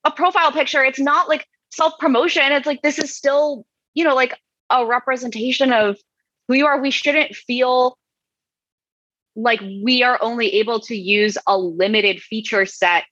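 A woman's voice: sits high, fairly even intonation, moderate pace, fairly bright tone, dry recording. A woman co-hosting a podcast in casual chat, hesitant and filling her sentences with fillers and restarts. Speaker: podcast co-host. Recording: dull, dry recording.

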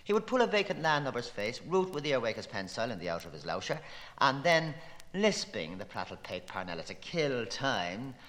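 Male literary reader, declamatory and playful, highly animated pitch, long echoing reverb. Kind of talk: theatrical literary reading of an experimental novel.

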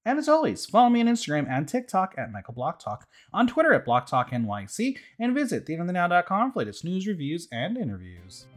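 A man's voice: high voice, fast, few pauses. A man hosting a podcast, chatting casually and joking with his guest. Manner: playful, jokey, animated. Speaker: podcast host. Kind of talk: casual interview chat.

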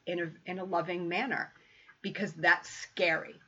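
A woman in her fifties speaks in a husky, bright, forceful voice. She co-hosts a heartfelt, casual conversation, reflective and quick to laugh. The recording sounds dull and lo-fi. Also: lowish voice, fairly even intonation. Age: 50s. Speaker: podcast co-host.

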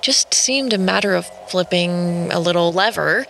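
An actress playing a wry young American artist, narrating and speaking with an American accent in an audio drama. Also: female voice, fairly low pitch, normal speed, vocal fry, a bit bright, a little hiss or hum, low-quality recording. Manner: wry, sardonic.

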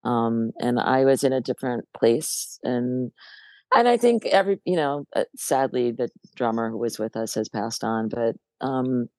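An older American woman from New Jersey with a low, gravelly voice, talking through memories in a relaxed interview, often hesitating and laughing. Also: moderate pace, dry recording. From New Jersey, USA. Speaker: guest musician. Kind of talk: casual interview chat.

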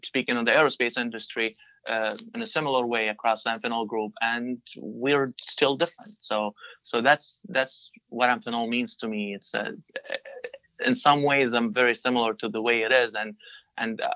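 A man in his twenties from Libya, a native Arabic speaker, answering interview questions in a halting way with many restarts. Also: medium pitch, fairly even intonation, pushed and bright, no room sound, dull audio. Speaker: guest, design engineer.

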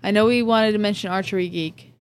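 A woman from Florida in casual podcast talk. She teases the others and laughs easily. Her voice sits at an average pitch. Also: fairly even intonation, fast talker. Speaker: podcast co-host. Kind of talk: casual chat.

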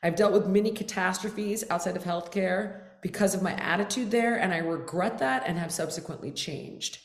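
A woman's voice: lowish voice, moderate pace, reverberant. A woman from the United States, a fitness expert who is a guest on a casual interview, talking candidly and with animation, sometimes dropping to a whisper for quoted asides.

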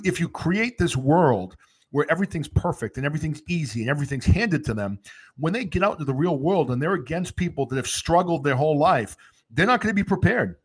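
A man's voice: fairly high pitch, breathy edge. A man in his fifties hosting a talk show, upbeat and earnest, joking now and then. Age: 50s. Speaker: radio show host. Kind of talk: casual talk show chat.

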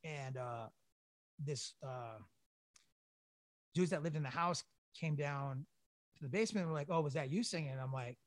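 A man's voice: fairly high pitch, moderate pace, long pauses, dry recording. A young man, a band singer, chatting casually as a guest, talking loosely with lots of swearing, fillers and stumbling restarts. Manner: laid-back, profane, rambling with restarts. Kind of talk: casual interview chat.

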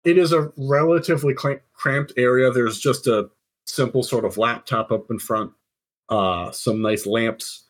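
A man's voice: mid-range voice, fairly even intonation, measured pace. A man running a tabletop game, narrating casually and informally. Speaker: game master.

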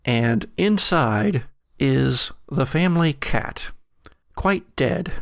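A man narrating as game master of a gothic horror tabletop game, deliberate and storyteller-like, with pauses. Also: mid-range voice, expressive, gravelly, fairly bright tone, no echo, studio quality.

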